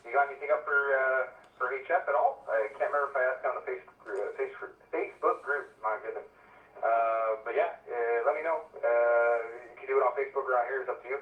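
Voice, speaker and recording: A man's voice: medium pitch, quick pace. A man calling in as an amateur radio operator, chatting casually over a radio link. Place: over an internet-linked ham radio connection. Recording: narrow phone-like radio link, roomy, distant.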